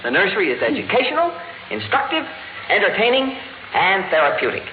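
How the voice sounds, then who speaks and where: High-voiced, slick radio-drama salesman. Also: wildly swooping pitch, a little fast. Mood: eager, boastful. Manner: smooth, emphatic sales patter. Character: slick, eager salesman pitching an automatic nursery. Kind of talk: 1950s radio acting. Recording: noisy, tinny vintage radio recording.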